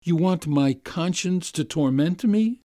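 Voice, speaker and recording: A man voicing a feeble, pleading gentleman. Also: expressive, measured pace, dry recording, clean studio sound. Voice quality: soft, subdued, ingratiating. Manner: pleading, rising to high plaintive appeals. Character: weak, ingratiating middle-aged gentleman, pleading.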